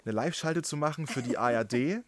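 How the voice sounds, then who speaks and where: A man from Saxony with a slight Saxon accent, hosting a podcast in casual, animated chat. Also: medium pitch, quick pace, no room sound. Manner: animated, emphatic, swears casually. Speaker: podcast host.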